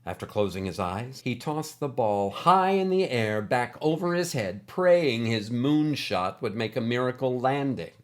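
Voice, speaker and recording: A man reading a scripted story as narrator, with expressive, deliberate phrasing. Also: mid-range voice.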